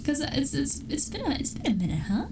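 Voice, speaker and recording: A woman's voice: high voice, very animated, fairly quick. A young woman streamer chatting casually with her viewers, giggling often and restarting her sentences as she rambles. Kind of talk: casual chat with live chat. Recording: distant mic with clear background noise.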